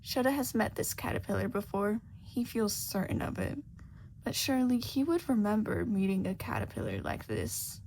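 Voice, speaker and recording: A woman's voice: mid-range voice, very animated, moderate pace, darkish tone, a little hiss or hum. A woman reading scripted fiction as a story narrator. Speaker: podfic narrator.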